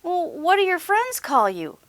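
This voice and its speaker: An eager little storybook bunny boy, acted out by a woman, bold and earnest. The delivery is animated and swings widely in pitch, with an unhurried pace and a close, dry sound. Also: no room sound, heavily acted. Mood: friendly.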